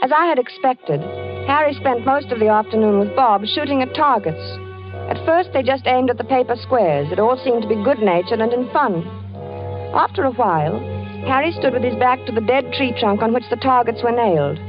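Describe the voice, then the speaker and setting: An actress playing a worried, devoted wife who narrates in a 1940s radio drama. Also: female voice, on the high side, lively intonation, a little fast, close to an everyday voice. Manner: anxious, coaxing. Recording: dull old radio broadcast with music.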